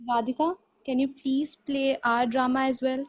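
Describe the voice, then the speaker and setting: Even-toned, high-voiced young woman student. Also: normal speed. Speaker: student presenter. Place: online class call.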